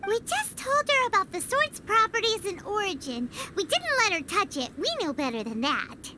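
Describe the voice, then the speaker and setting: A tiny floating sprite girl with a piercingly high, bouncy voice that leaps all over the place. She is excitable and blunt, and she refers to herself by name. Game audio with a faint ambient bed behind her. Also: moderate pace, noisy background. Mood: chirpy. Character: tiny floating female companion sprite, chirpy and bossy. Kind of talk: video game voice acting.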